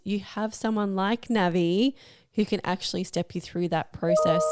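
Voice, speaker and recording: A woman hosting a chatty podcast interview, effusive and encouraging, with a slightly croaky voice. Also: medium pitch, darkish tone, no room sound, clean studio sound. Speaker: podcast host.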